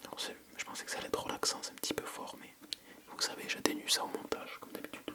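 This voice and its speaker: A French ASMR creator speaking entirely in a soft, breathy whisper. The delivery is casual and unscripted, with a hesitant habit of thinking aloud. It sounds intimate and close to the microphone. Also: fast talker. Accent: French from France.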